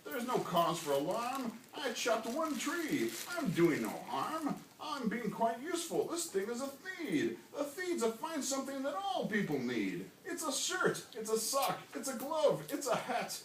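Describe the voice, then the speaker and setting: A man voicing an old, reclusive storybook creature who tells a wistful tale with drawn-out emphasis. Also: extremely high pitch, huge pitch swings, voice pushed up, full character voice. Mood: smug, dismissive. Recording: echoey noisy classroom, distant mic.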